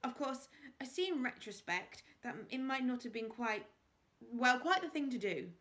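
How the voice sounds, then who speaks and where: A plump, flustered aristocratic father who keeps stammering while clinging to his dignity, put on by a woman reader. The voice sits a little high and comes out loud and pushed. It belongs to a storybook read aloud. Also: expressive, normal speed. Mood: indignant. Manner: stammering, trying to sound dignified.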